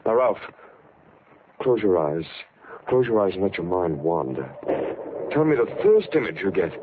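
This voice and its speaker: Low-voiced, emphatic radio psychiatrist. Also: male voice, very animated, moderate pace. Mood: grave, probing. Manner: emphatic, probing. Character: concerned, authoritative male psychiatrist, the killer's old friend. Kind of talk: 1940s radio thriller acting. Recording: tinny, noisy old radio recording.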